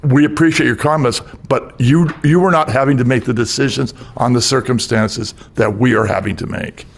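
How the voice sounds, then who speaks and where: A man making measured, explanatory remarks in a policy meeting. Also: medium pitch, creaky. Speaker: meeting participant. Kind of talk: meeting comment.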